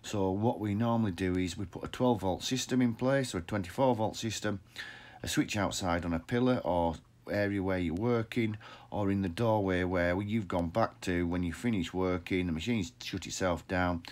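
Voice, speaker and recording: A man's voice: low voice, moderate pace, darkish tone. A middle-aged man in a workshop, explaining machines plainly into a phone held close below his face, repeating words and restarting often. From England. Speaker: pressure washer business owner. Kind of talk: informal how-to explainer. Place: indoor workshop with equipment shelves. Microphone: phone camera held close below the face.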